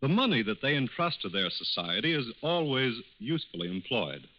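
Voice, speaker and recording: Emphatic 1940s radio announcer man, lively pitch. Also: slight background noise. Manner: formal, deliberate, emphatic. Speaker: announcer delivering sponsor message. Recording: muffled 1940s radio recording.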